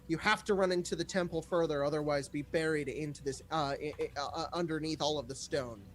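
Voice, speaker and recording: A man's voice: on the high side, fairly even intonation, fairly quick. A man narrating an improvised tabletop game as game master, setting scenes in a dramatic way.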